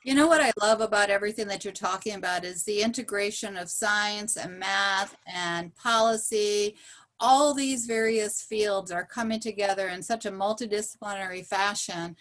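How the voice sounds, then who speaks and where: A woman's voice: mid-range voice, moderate pace, fairly bright tone. A woman hosting a podcast interview, enthusiastic, stacking several questions at once. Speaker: podcast host.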